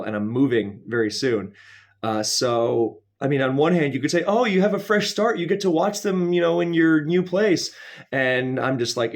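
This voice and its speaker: A man hosting a casual, rambling comedic video, speaking in a halting, digressive way with wry, mock-serious grand phrasing. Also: mid-range voice. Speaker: video host.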